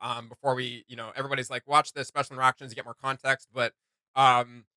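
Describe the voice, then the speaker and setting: Male reaction host: bright, forward voice, medium pitch, joking and rambling, casual. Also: fast talker, no room sound. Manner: animated, joking, rambling asides.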